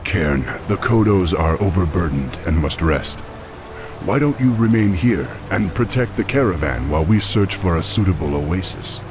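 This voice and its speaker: An actor playing a young, noble orc war chief in a fantasy game, with a gravelly voice and a firm, formal manner. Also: male voice, sits low, animated, normal speed, over music. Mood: concerned, earnest. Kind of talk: fantasy video game acting.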